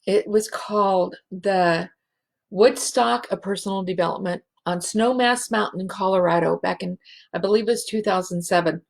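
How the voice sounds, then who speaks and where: A woman hosting a spiritual show, speaking in a halting, emotional way and close to tears. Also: medium pitch, gravelly. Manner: slow, halting and emotional, many restarts. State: teary. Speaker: show host, healing facilitator. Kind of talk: heartfelt spiritual show monologue.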